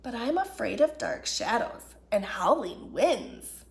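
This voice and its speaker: A woman reading a children's storybook as a small, timid, playful young male fox, excitable and earnest. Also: on the high side, takes it slowly, pitched below the performer's own voice, exaggerated voice.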